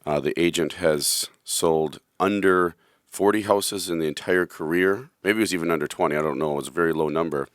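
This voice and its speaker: A hoarse male radio host, telling a rambling, self-deprecating story in casual studio talk. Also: low voice, brisk, no echo. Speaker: radio show host, real estate agent.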